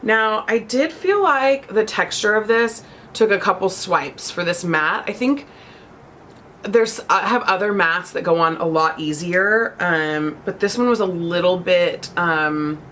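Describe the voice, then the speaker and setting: A woman's voice: on the low side, lively intonation, measured pace, a bit bright, a little hiss or hum. A young woman hosting a beauty vlog, chatting casually and gushing about products, still a bit congested from a cold. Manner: bubbly, gushing, chatty with many fillers. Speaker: beauty vlogger. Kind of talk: casual chat.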